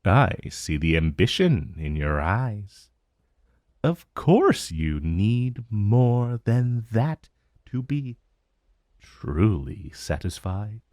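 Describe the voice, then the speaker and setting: A man's voice: lowish voice, sing-song, darkish tone, no echo, studio quality, heavily acted. A man playing an ancient dragon in human form, haughty and teasing with a vague menace, in a theatrical, condescending purr for a fantasy ASMR roleplay. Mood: smug, knowing. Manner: slow, theatrical, condescending purr with mocking endearments. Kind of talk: fantasy ASMR roleplay audio, addressing the listener.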